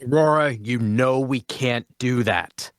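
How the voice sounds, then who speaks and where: Male actor as calm adventuring leader, mid-range voice, measured, placating, dry recording. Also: medium pitch, clear, resonant tone, no room sound, lightly acted. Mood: firm. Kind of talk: fantasy drama table read.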